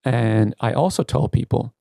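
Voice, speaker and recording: Gravelly, mellow man speaking earnestly. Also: mid-range voice, normal speed, dry recording, clean studio sound. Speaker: tech company CEO, interview guest. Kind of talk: candid podcast interview conversation.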